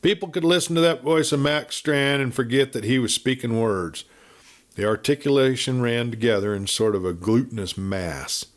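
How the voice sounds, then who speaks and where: A man narrating a Western audiobook as a dramatic storyteller, with measured, theatrical phrasing. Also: medium pitch.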